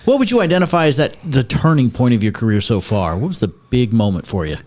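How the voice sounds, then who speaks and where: A man's voice: medium pitch, lively intonation, fast talker, a little mellow. A man co-hosting a radio show, interviewing a guest in a playful, curious way.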